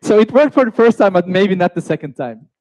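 A young man hosts an online coding class over a video call. His voice is slightly raised in pitch, and the sound is close and dry. He talks in a chatty way, restarting his sentences. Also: animated, a little fast, darkish tone, no room sound. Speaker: course host. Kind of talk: live online lecture.

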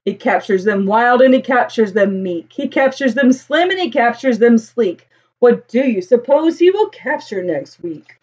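An American woman voicing amazed, gossiping zoo visitors from a picture book, exclaiming with drawn-out emphasis. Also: on the high side, lively intonation, a little mellow, slightly rough, dull audio. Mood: admiring.

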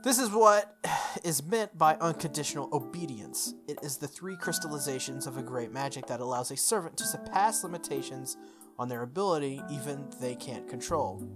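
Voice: mid-range voice, animated, moderate pace, natural voice. A game player voicing a sardonic male servant warrior who explains things in a condescending, lecturing way. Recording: music under the voice.

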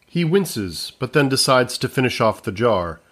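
A man narrates a scripted audio comedy. His voice is of middling pitch, and he reads in a measured, matter-of-fact manner. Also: sing-song, a bit bright.

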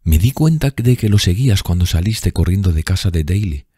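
A man's voice: deep voice, lively intonation, brisk, mellow, slightly rough, dry recording, clean studio sound, voice pushed up. A man voicing a haggard woman from a seedy bar who speaks in a mocking, bitter way. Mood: scornful.

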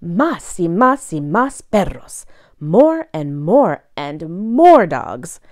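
Mellow woman reading a picture book, lively pitch. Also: no room sound, clean studio sound. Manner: expressive, deliberate phrase-by-phrase reading. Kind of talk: bilingual children's read-aloud.

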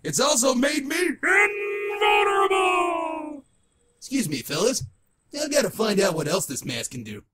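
A man's voice: high-pitched, huge pitch swings, brisk, husky, some chatter behind, heavily acted. A man voicing a zany, boastful masked trickster and crime boss in a comic book dub. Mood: gleeful boasting. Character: manic green-faced masked trickster turned crime boss, gleeful and menacing. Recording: loud music behind the voice.

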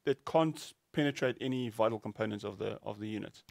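A man's voice: fairly low pitch, fairly quick, vocal fry, darkish tone, no echo, clean studio sound. A middle-aged man explaining product specifications in an informal talk, a little hesitant and often repeating words as he searches for details. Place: small indoor studio set at a desk.

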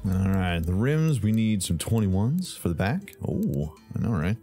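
A man's voice: on the low side, sing-song, unhurried, warm tone. Goofy man playing a car game. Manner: goofy, sings and makes silly sound effects. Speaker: gaming channel host. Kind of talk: playful gameplay commentary. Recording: faint game music behind.

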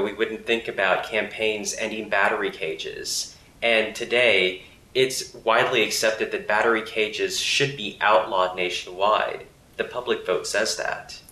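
A man's voice: fairly low pitch, sharp tone, roomy sound. A man in his thirties, an animal advocacy campaign coordinator, giving earnest, assured interview answers into a handheld mic in an office. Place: office room indoors.

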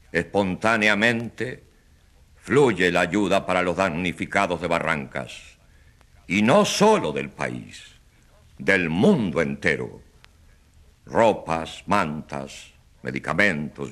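A man's voice: deep voice, very animated, fairly bright tone, heavily acted. An actor playing a self-assured provincial governor, a man giving a solemn, grandiose political address in a radio drama. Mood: solemn, stirring. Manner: solemn, grandiose, pausing speech-making.

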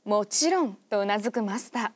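A woman giving calm scripted narration for a radio drama. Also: mid-range voice, a little fast.

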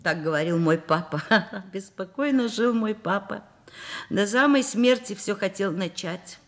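An actress playing an overworked middle-aged professor and mother in a Soviet radio drama, expressive, wry and self-mocking. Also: mid-range voice, a little slow. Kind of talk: Soviet radio drama acting, first-person narration.

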